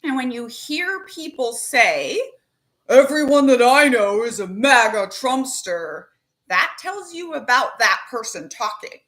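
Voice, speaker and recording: A woman talking casually and animatedly as host, with quick asides, laughs and the odd swear word. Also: fairly high pitch, lively intonation, fairly bright tone. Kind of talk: casual chat to camera.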